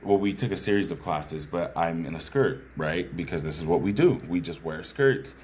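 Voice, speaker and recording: Deep-voiced man, earnest and thoughtful. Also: lively intonation, normal speed, darkish tone, a little hiss or hum. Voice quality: bassy voice. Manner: earnest, thoughtful, frequent "right?" asides. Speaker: guest. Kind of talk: reflective conversational storytelling.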